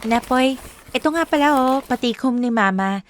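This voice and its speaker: Woman acting as high-voiced loyal young girlfriend. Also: normal speed, slight background noise, close to an everyday voice. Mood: casual, friendly. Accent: Filipino. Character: young woman defending her boyfriend, firm and loyal. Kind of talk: Filipino radio drama acting, Taglish.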